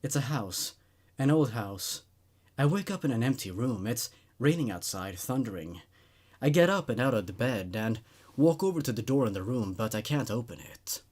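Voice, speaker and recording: A man's voice: mid-range voice, lively intonation, moderate pace, darkish tone, natural voice. A man voicing a troubled, nervous male patient in his twenties in a horror story, hesitant and uneasy. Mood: haunted, fearful.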